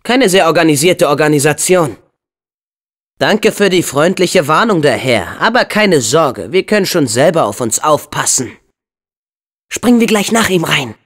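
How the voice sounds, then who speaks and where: A young man voicing an upbeat, brave and earnest teenage boy hero in a video game, with an expressive, youthful delivery. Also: a little high, normal speed. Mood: confident.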